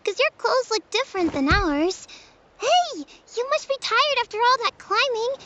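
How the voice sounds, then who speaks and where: A woman's voice: very high-pitched, lively intonation, moderate pace, heavy background noise. A woman voicing a friendly, eager young village child in a video game, chatty and earnest. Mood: friendly, curious. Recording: slightly dull audio, faint game music.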